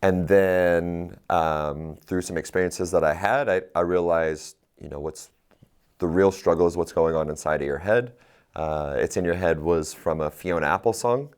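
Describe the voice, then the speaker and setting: Very deep-voiced guy chatting as a guest, thoughtful and honest, chuckles at himself. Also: moderate pace, clean tone. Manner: reflective, candid, laughs at himself. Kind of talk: casual interview conversation.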